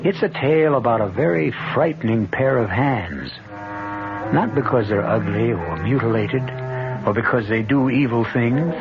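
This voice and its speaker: A man hosting an old-time radio mystery drama, narrating suspensefully in a measured, dramatic way with weighty pauses. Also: medium pitch. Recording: muffled old radio broadcast. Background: music.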